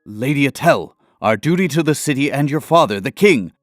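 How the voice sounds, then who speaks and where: A man's voice: mid-range voice, animated, a little fast, no echo, clean studio sound, soft background music, voice pushed up, lightly acted. A man voicing a dutiful royal city guard in a fantasy audio story, pleading earnestly and urgently. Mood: earnest protest.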